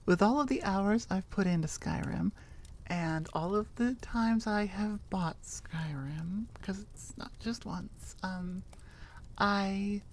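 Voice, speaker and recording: A woman's voice: mid-range voice, slight background noise. A woman chatting casually and informally as an audio creator, rambling with enthusiasm. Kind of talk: casual rambling outro chat.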